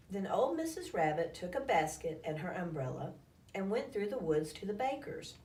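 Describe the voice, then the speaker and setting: A woman's voice: lowish voice, darkish tone, a little hiss or hum. Echoey, distant woman reading a storybook. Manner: dramatic, acts out calls and sound effects. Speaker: storyteller reading a children's book aloud. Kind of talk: expressive read-aloud storytelling. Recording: echoey room, distant microphone.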